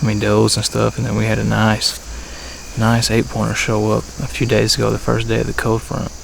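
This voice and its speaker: Low-key, gravelly man with flat intonation. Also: a little fast. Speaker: bowhunter and outdoor vlogger. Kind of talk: casual vlog talk to camera. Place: outdoors in a tree saddle at a pasture. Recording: outdoor ambience with faint insect noise. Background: insects and crickets.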